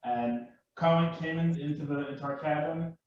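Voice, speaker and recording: Male panel guest, halting casual storyteller, very reverberant and muffled, off-mic. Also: fairly high pitch, slight background noise. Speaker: live action roleplay enthusiast, panel guest. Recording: very echoey room, distant mic, muffled.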